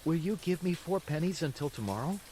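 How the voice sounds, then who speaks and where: A man voicing an eager, impulsive and boastful wooden puppet boy in a bedtime story, talking in an excitable, dramatic way. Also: fairly high pitch, brisk, slight background noise, voice pushed up, close to an everyday voice. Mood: curious, eager. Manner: excitable, dramatic self-talk. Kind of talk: bedtime storybook character reading. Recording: steady rain sounds behind the voice.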